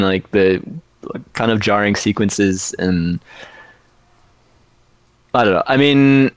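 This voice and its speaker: A man's voice: medium pitch, clean tone, no echo. A man cohosting a music podcast, talking casually in a chatty, hesitant way full of fillers.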